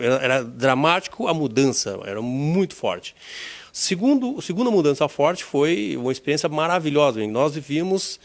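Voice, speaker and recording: A middle-aged man from Brazil, an insurance executive looking back on his career in an oral history interview, reflective and leaning on key words. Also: on the high side. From Rio Grande do Sul, Brazil.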